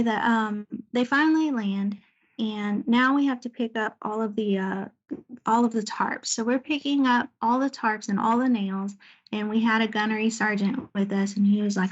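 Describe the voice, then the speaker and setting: A woman giving an informal slide presentation about her service as a Navy air traffic controller, talking conversationally with searching pauses. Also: on the high side, dull audio. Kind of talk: informal slide presentation recounting deployment stories.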